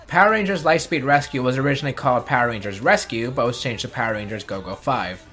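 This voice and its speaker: A man hosts a video essay with scripted narration. His voice has a middle pitch, and music plays beneath it. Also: fast talker, bright, forceful tone. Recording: music bed under the voice. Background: background music.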